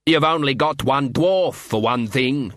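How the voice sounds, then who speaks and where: A man's voice: medium pitch, unhurried, a bit bright, dry recording, clean studio sound, natural voice. A man voicing a hesitant, grumbling dwarf from a fantasy crowd.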